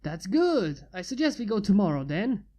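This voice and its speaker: A fanfiction reader voicing a blunt, gruff inventor who has become a father. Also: mid-range voice, big pitch swings, normal speed, dark tone.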